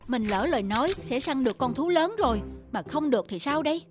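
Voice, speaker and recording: A woman voicing a dramatic, boastful, guilt-ridden young male hunter in an animated folk tale, given to exclaiming and talking to himself. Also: sits high, fairly quick. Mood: determined. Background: music.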